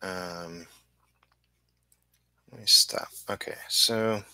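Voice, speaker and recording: A man chatting casually on a livestream as an artist, halting and apologetic, often muttering to himself. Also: deep voice, fairly even intonation, sharp tone, no room sound, low-quality recording. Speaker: comic book inker livestreaming his work.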